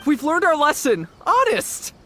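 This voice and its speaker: An actor playing an earnest, excitable, easily scared gang member in a video game. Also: sits high, unhurried, slight background noise, exaggerated voice. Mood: pleading. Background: music.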